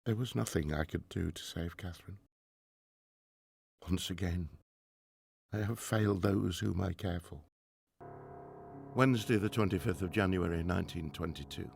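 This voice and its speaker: A man acting in a radio drama as a middle-aged 1920s professor and grieving father, formal and earnest, halting when troubled. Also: deep voice, gravelly, darkish tone. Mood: sorrowful, solemn.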